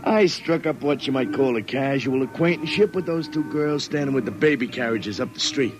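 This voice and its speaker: A man's voice: medium pitch, fairly quick, natural voice. Brash dim-bulb sidekick, played by a man, slangy and flirtatious; vintage radio sound, music bed. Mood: cocky. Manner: flirtatious, wisecracking, streetwise slang. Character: dim-witted cocky male sidekick. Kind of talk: 1950s radio drama acting. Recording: old radio broadcast with loud music.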